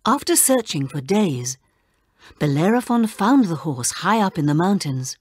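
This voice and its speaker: A woman narrates a children's storybook with dramatic, deliberate delivery. Her voice sits a little low and moves through a very animated range at a slow pace, made for reading along. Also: breathy edge. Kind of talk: expressive scripted read-along narration for children.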